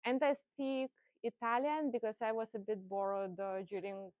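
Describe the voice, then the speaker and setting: A woman's voice: fairly high pitch, steady tone, moderate pace, darkish tone, dry recording. Woman, thoughtful panelist. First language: Russian. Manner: thoughtful, frequent "right?" tags and fillers. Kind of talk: panel discussion.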